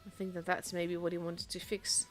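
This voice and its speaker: A young Dutch woman, a native Dutch speaker, casting a tank game solo in a chatty, self-deprecating way that grows excited at big plays. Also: on the low side, moderate pace, faint music behind. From Netherlands. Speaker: esports caster.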